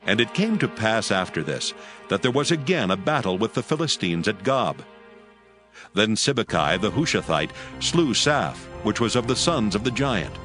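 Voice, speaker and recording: A man narrating a dramatized Bible from a script in a formal, measured storytelling manner. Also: lowish voice, very animated, a bit bright, soft background music.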